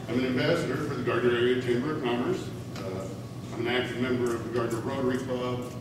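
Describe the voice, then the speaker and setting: A man's voice: medium pitch, fairly even intonation, fast talker. A middle-aged man with a big voice, giving a formal, earnest campaign speech, with frequent "uh" fillers. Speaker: city council candidate. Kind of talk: campaign speech at a candidate forum. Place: school hall. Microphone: distant, speaking without a microphone. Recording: echoey hall from far off with room noise.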